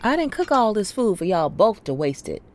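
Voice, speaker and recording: A woman's voice: low voice, normal speed, a little mellow, husky. A woman acting an observant, plainspoken middle-aged mother in an audio drama. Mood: scolding.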